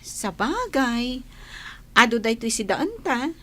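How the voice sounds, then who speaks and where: Radio play role: hospitable young village woman, high voice, gentle and coaxing. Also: big pitch swings, slow pace, full character voice. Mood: attentive. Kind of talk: radio drama acting.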